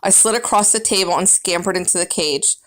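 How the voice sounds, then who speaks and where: A woman's voice: fairly low pitch, a little fast, fairly bright tone. A woman reading a children's book aloud as first-person narrator, with dramatic, emphatic storybook phrasing.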